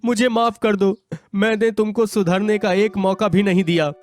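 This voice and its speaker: A man voicing a worried, remorseful village husband in an animated moral story, pleading as he speaks. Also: sits high, normal speed, faint music behind, voice pushed up, lightly acted. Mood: apologetic.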